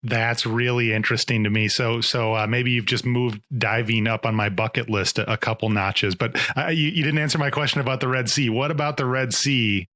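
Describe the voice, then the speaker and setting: A man's voice: mid-range voice, steady tone, brisk, few pauses, sharp tone, no room sound, studio quality. A man hosting a podcast interview, who asks his guest questions in a friendly way. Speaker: podcast host.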